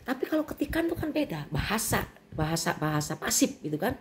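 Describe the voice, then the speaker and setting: Middle-aged woman vlogger, Sundanese accent, animated and indignant as she vents. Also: mid-range voice, fairly quick, slight background noise. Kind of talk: venting monologue.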